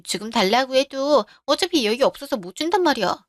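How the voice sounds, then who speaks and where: A woman doing a shrill snippy younger sister. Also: lively intonation, brisk, no echo, studio quality. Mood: defensive. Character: sharp-tongued selfish younger sister, young mother.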